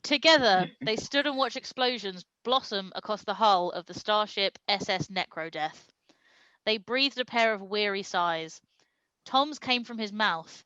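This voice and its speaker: A woman's voice: mid-range voice, lively intonation, unhurried, bright, forceful tone, no echo, highs cut off. A woman reading a comic story aloud with dramatic storytelling delivery and amused asides. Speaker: reader of comic fan fiction at a live stream show.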